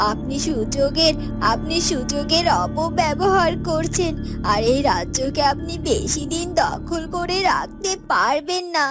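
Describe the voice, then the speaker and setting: Cartoon heroine: a young gardener, voiced by a woman, very high, animated and defiant, music bed. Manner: defiant, pleading, emotional. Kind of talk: Bengali cartoon voice acting. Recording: music under the voice.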